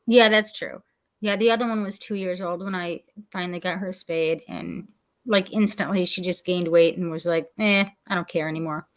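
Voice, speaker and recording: A woman's voice: fairly low pitch, steady tone, moderate pace, no room sound. Talkative woman in her forties. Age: 40s. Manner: bubbly, talkative, exclamatory. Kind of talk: casual group video chat.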